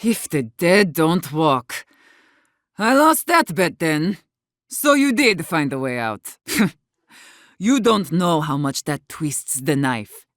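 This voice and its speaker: A hard-bitten female warden leader for a video game. Her voice sits fairly low and moves at a slow, deliberate pace, recorded very dry. She is blunt and embittered, with a guarded, sharp-tongued way of speaking. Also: very animated, dry recording, full character voice. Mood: bitter. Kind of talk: video game character dialogue.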